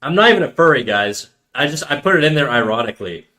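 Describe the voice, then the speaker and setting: Slangy, bright-voiced man streamer. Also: medium pitch, sing-song, quick pace. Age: 30s. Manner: slangy, rambling, reacts to chat messages. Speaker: live streamer. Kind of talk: casual live-stream chat.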